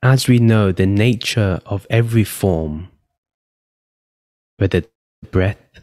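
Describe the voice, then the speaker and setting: Low-voiced man guiding a meditation. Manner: gentle, unhurried, pausing between phrases. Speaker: meditation guide and podcast host. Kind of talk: guided meditation, spoken instruction.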